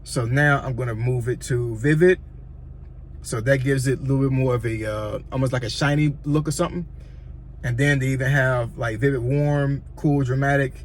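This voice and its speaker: A man's voice: mid-range voice, fairly even intonation, normal speed, slight background noise. Casual Southern-accented man. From Virginia, USA. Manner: loose, rambling, repeats words, asks "you know what I'm saying". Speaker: YouTuber. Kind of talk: casual tutorial talk.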